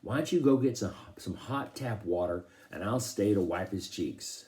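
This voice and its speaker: A man's voice: fairly low pitch, lively intonation, normal speed, a little mellow, close to an everyday voice. A man voicing an amused father in his thirties, wry and teasing. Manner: wry, mocking.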